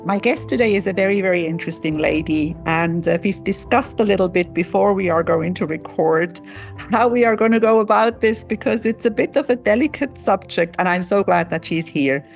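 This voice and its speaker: A woman's voice: mid-range voice, a little fast, vocal fry, faint music behind. A woman from Switzerland hosting a podcast interview, chatty and rambling with frequent restarts. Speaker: podcast host. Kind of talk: casual interview.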